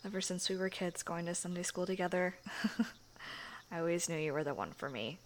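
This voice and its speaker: A young woman voicing a shy, sweet churchgoing girlfriend in an intimate audio roleplay, speaking softly with hushed, hesitant phrasing and frequent giggles. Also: on the low side, normal speed, a little hiss or hum, natural voice. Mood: shy, nervous.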